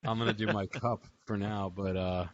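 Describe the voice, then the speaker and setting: A man's voice: lowish voice, flat delivery, moderate pace. A man hosting a casual group call, reminiscing in an informal, rambling way with chuckles between phrases. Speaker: host of a memorial group call. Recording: dull lo-fi online call audio.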